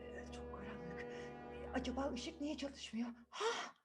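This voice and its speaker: A woman voicing a timid, slow-witted male robber in a storybook tale, hesitant and muttering as he protests. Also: fairly high pitch, steady tone, whispered, over loud music, close to an everyday voice. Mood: nervous, hushed.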